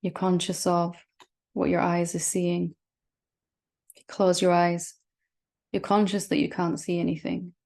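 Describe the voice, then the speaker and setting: A woman leading a guided meditation as a spiritual teacher, speaking gently and reassuringly with long, unhurried pauses. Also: on the low side, little pitch movement, gravelly.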